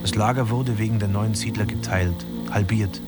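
A man's voice: lowish voice, steady tone, normal speed. An older man, once a camp resident, plainly narrating his family's resettlement. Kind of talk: documentary interview. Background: loud music bed.

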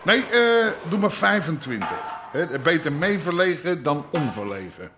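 An actor playing a brisk man doing business in a radio drama. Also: fairly high pitch, very animated, music in the background.

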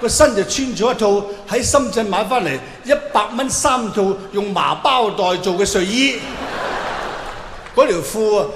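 A man's voice: high-pitched, normal speed. A man performing a stand-up monologue, telling it theatrically with mock-earnest advice. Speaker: stand-up comedian. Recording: echoey hall with long reverb, clear noise.

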